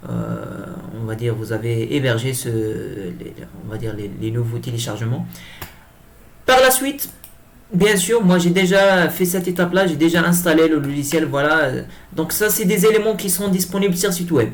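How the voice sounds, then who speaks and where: Male tutorial presenter, slightly high voice, hesitant and repetitive delivery, some background noise. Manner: hesitant, halting, repeats himself. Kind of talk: improvised software screencast tutorial.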